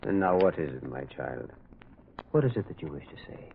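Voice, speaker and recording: A man acting a gentle, shrewd priest detective in a radio drama, musing and insistent, repeating himself as he probes. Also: a bass voice, sing-song, a little fast, gravelly, slight background noise, exaggerated voice. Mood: gentle, probing. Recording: lo-fi, narrow-band old radio sound.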